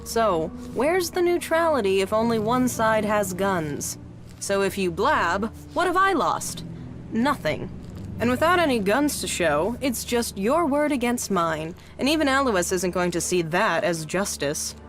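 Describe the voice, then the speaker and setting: A woman's voice: medium pitch, sing-song, unhurried, noisy background. An actress playing a wary, tough, streetwise female arms dealer in a video game, with a sardonic, bargaining manner. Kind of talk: video game dialogue acting. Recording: music under the voice.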